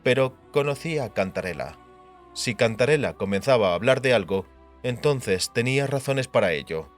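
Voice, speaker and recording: A man's voice: mid-range voice, expressive, normal speed, soft background music. A man reading fantasy fiction as a scripted audiobook narration.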